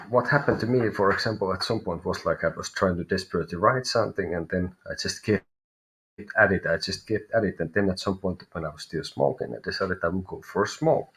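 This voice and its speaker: A man with a Finnish accent and a fairly low voice reads tarot cards live. He thinks aloud as he goes, pausing and hunting for the right word before settling on his reading. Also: fairly bright tone, rough recording. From Finland. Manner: thinking aloud, searching for words. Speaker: tarot reader.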